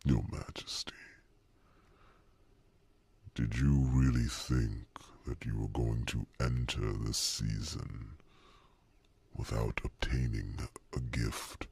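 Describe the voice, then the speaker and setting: An ancient druid, with an extremely low voice full of rasp and breath. He speaks very slowly and deliberately, a wise and wry fantasy mentor addressing royalty with theatrical pauses. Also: steady tone, a little mellow, heavily acted. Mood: wry, gentle, hushed. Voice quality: very deep gravelly rasp, breathy. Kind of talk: ASMR fantasy roleplay acting.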